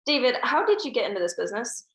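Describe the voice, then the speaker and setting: A woman's voice: fairly high pitch, sing-song, quick pace. A woman reading the part of a wry, teasing young adult daughter. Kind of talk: table read. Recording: roomy echo.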